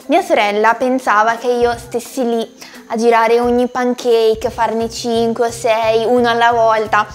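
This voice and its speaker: A young woman walks through a recipe in her home kitchen, explaining each step in a chatty way and pausing on small fillers. Her voice sits a little high, with light music playing faintly behind her. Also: level tone. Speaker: cooking channel host. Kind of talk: recipe tutorial, talking to camera.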